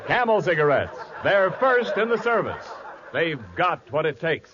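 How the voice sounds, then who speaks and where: A man's voice: a little high, a little slow, lo-fi sound, a crowd in the background, background noise. A man announcing and reading a cigarette ad in a persuasive 1940s radio style. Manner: smooth, persuasive, lively.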